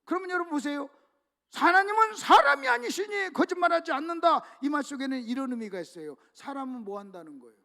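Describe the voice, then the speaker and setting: A man preaching a sermon to a church congregation, leading them in call-and-response reading and swinging between hushed asides and loud emphatic exclamations. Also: very high-pitched, big pitch swings, fast talker, creaky. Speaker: pastor. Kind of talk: sermon at a revival meeting. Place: church sanctuary.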